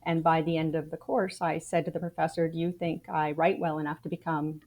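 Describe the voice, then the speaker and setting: A woman's voice: low voice, level tone, brisk, darkish tone. A Canadian woman in her forties, a podcast guest, telling her life story frankly and with dry wry humor. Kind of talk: casual interview storytelling.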